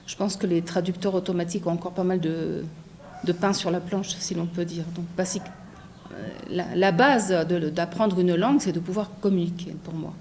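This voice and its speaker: A middle-aged woman from France, a translator, answering interview questions thoughtfully with many pauses and a habit of asking "non?". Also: on the low side, steady tone, a little mellow, a little hiss or hum. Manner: thoughtful, many fillers, frequent tag "non?".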